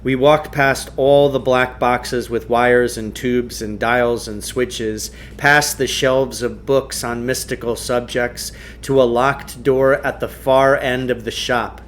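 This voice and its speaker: A man reading a storybook aloud as narrator, in a deliberate, expressive way. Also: medium pitch, a little hiss or hum. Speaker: teacher reading a book aloud.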